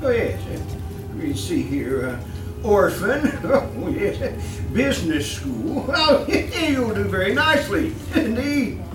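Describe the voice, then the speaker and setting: An actor in an old-time radio drama playing a fussy, smarmy swindler posing as a genteel employer, stammering, repeating himself and chuckling nervously. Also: male voice, sits high, big pitch swings, takes it slowly, heavily acted. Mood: oily, fussy. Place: live theatre stage. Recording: roomy distant live stage, music behind.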